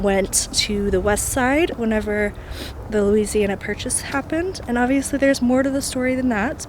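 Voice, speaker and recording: A woman's voice: mid-range voice, background noise. A young woman with a slightly sore throat, chatting casually to a handheld camera as she vlogs her travels, with lots of ums. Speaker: travel vlogger. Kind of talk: casual vlog talk to camera. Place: in a car and outdoors by a river. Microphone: handheld camera at arm's length.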